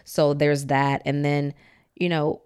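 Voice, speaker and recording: Woman, low pitch, smooth clear voice, relaxed chatty interview guest. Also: slow. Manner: relaxed, chatty, sprinkles in faith talk. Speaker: guest, author and stand-up comic. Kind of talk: casual interview chat.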